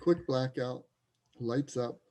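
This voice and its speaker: A man's voice: medium pitch, takes it slowly, mellow. A man narrating stage directions in a scripted reader's theater performance.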